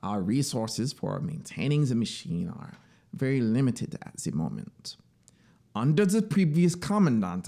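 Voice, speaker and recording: A man reading a story puts on a fanatical military officer, full of grievance. He complains emphatically and indignantly, with an animated voice that swoops in pitch. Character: fanatical, aggrieved male officer devoted to his execution machine. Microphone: close studio microphone on boom arm.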